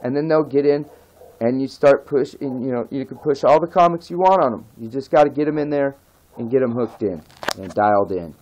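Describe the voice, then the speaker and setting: A man's voice: fairly quick, a little mellow, highs cut off, slight background noise. A man who grew up in the nineties, ranting casually and with passion as a vlogger, pleading over and over and stressing his points. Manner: pleading and repetitive, emphatic, rises in pitch when begging. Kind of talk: impassioned casual rant to camera.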